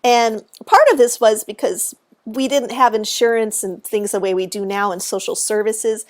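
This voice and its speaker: A woman with a high voice, exuberant and chatty, explaining cemetery history as a guest on a live stream. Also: fairly even intonation, moderate pace. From Texas.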